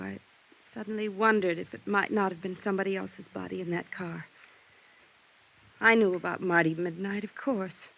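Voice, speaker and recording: Creaky, sharp-voiced cornered femme fatale. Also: female voice, medium pitch. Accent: American. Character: tense, cornered femme fatale confessing to killing her lover. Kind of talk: 1950s American radio drama acting. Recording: dull vintage radio recording.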